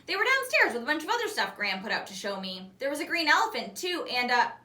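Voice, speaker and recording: A woman's voice: high voice, very animated, quick pace, bright, forceful tone, voice pushed up, full character voice. A woman voicing an earnest, matter-of-fact young girl explaining things in a children's story. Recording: echoey room, distant microphone.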